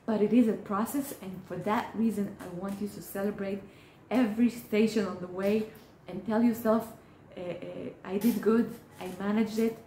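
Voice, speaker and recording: Mellow, earnest woman. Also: mid-range voice, slight background noise. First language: Hebrew. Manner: earnest, encouraging, halting with frequent restarts. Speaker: therapist. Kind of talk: direct-to-camera advice talk. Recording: roomy echo.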